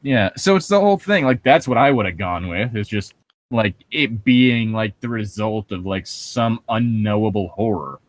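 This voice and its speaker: A man's voice: lowish voice, expressive, moderate pace. A man chatting casually as a podcast co-host, rambling and swearing freely as he riffs on horror stories. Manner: rambling, profane. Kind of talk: casual chat, riffing commentary on horror stories.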